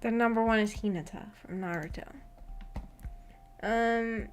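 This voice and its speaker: A young woman with a fairly high voice hosts a casual livestream. She rambles along in a giggly, self-deprecating manner, talking to her viewers. Soft music plays behind her. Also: a little slow. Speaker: livestream host.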